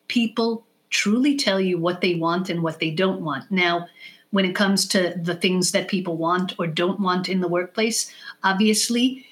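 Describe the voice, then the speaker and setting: Woman explaining in deliberate, emphatic phrases. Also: fairly low pitch, fairly bright tone. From born in the United States, raised in Israel from age six. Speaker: guest expert on leadership. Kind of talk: podcast interview, explanatory advice.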